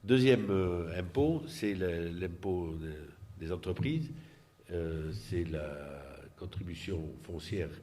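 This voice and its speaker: A man giving an unscripted explanatory political presentation, halting and often repeating words as he searches for the next one. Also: deep voice, mellow.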